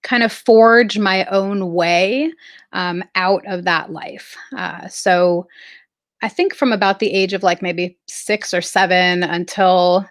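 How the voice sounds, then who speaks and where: A woman's voice: on the low side, steady tone, dry recording, studio quality. Reflective woman telling her story. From Southern California. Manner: reflective, halting, many fillers. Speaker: guest artist. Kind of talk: casual interview storytelling.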